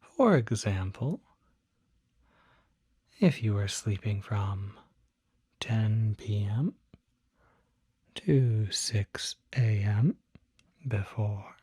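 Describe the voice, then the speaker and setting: A performer voicing a calm, attentive demon doctor in a soft-spoken ASMR roleplay, often whispering, with gentle, deliberate questioning. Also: medium pitch, husky, dry recording, studio quality, heavily acted. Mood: patient, probing. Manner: slow, deliberate, gentle bedside questioning with pauses.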